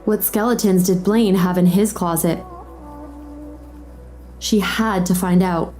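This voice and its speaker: Woman story narrator, warm dark tone, dramatic scripted read over light music. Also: fairly low pitch, steady tone, slow pace.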